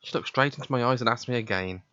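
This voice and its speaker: A man's voice: medium pitch, quick pace, bright, forceful tone, dry recording, dull audio. A man reading a visual novel aloud, narrating in the first person and voicing its dialogue.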